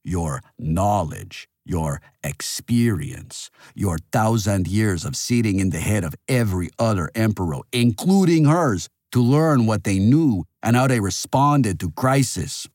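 Ancient artificial king for an audiobook, played by a male narrator: pompous, lecturing, hugely animated pitch swings, close dry sound. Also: measured pace, few pauses, no echo. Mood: pressing, challenging. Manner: theatrical, lecturing. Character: forceful, pompous male artificial monarch, centuries old, morally certain.

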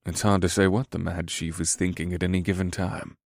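A man voicing a shaken, foppish half-demon thief in a fantasy audiobook, with hushed, grave, determined delivery. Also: deep voice, level tone, fairly quick, creaky, no room sound, studio quality. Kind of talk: fantasy audiobook character acting.

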